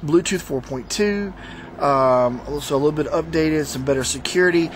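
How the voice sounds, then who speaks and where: A man giving a casual, unscripted product review to viewers in a halting, rambling, conversational way. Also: fairly high pitch, a little hiss or hum. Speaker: product reviewer, host of a motorsport video channel.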